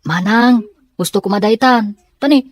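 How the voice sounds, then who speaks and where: A woman's voice: mid-range voice, moderate pace, no echo, close to an everyday voice. A woman with an Ilocano Filipino accent playing a mild, patient young schoolmate in an audio soap drama. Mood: pleading.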